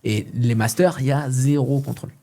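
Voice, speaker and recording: Relaxed man with a husky voice. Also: medium pitch, a little fast. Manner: relaxed, conversational, frequent short agreements. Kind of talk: casual podcast discussion.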